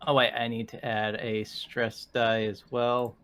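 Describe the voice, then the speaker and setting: Male tabletop player with a medium-pitched voice, talking casually through his moves. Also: level tone, measured pace, a bit bright, clear, resonant tone. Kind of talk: casual game chat.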